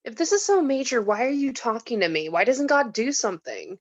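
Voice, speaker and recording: A woman's voice: on the high side, big pitch swings, fairly quick, no room sound, highs cut off. A woman reading the part of a skeptical, bitter, reluctant mortal in a script table read, blunt and questioning. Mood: defiant.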